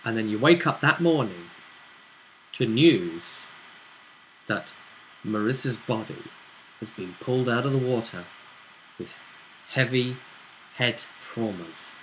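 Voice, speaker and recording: A man's voice: medium pitch, lively intonation, slow, long pauses, fairly bright tone, slight background noise, poor audio. A man hosting as game master, chatting casually as he recaps a tabletop roleplay campaign. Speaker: game master and show host.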